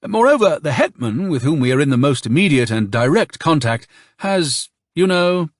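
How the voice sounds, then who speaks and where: A man's voice: on the high side, sing-song, a little fast, dry recording, clean studio sound, pitched above the performer's own voice. A man voicing a suave, insincere army colonel in an audiobook, with honeyed, emphatic politeness. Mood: feigned regret.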